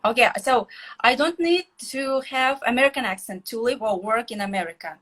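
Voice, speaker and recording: Kazakhstani woman learning english, highish voice, hesitant n halting, chatting in an interview. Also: a bit bright. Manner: hesitant, halting second-language speech. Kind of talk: conversational interview answers.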